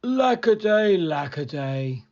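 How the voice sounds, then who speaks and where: A woman as mild, deliberate storybook husband. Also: lowish voice, sing-song, a little mellow, lo-fi sound, pitched above the performer's own voice, heavily acted. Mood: woeful. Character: Mister Grinling, mild, proper lighthouse keeper husband.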